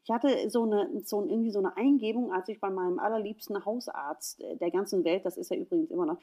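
A woman from the USA, a gynecologist speaking as a guest in a casual conversation. She is lively, laughs often and talks with many fillers. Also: mid-range voice, fast talker. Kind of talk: casual podcast chat.

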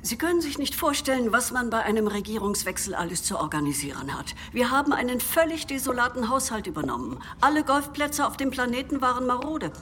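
Politician in charge, formal and placating, lively intonation, comic sci-fi radio play, slight background ambience. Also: female voice, a little high, brisk, hardly any pauses, a little hiss or hum. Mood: defensive. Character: polite, self-justifying female politician in charge.

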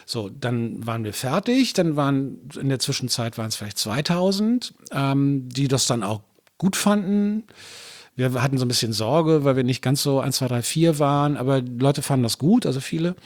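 A man chatting loosely about his band's touring days, his stories wandering and restarting as he goes. His voice sits at an ordinary pitch and is recorded close and dry, with no room sound. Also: lively intonation, moderate pace, darkish tone, no room sound. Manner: rambling anecdotes with restarts and chuckles. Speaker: musician guest. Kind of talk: casual conversation.

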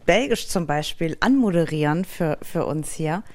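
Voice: mid-range voice, expressive, moderate pace, darkish tone. An interview host in a casual chat, asking questions and encouraging the guest with short affirmations.

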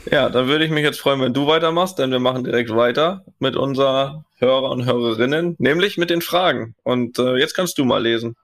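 A man with a gravelly, creaky voice at a mid pitch co-hosts a podcast. He talks in a loose, teasing way and reads listener emails aloud between banter. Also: brisk, few pauses. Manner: relaxed, teasing, laughs easily. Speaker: podcast co-host. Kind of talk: casual chat, reading out listener mails.

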